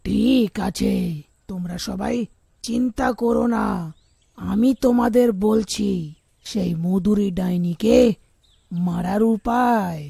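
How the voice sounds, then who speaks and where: A woman voicing a solemn village holy man in a cartoon fairy tale, instructing deliberately in a creaky voice. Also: mid-range voice, dark tone, no room sound, clean studio sound, full character voice. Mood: calm, solemn.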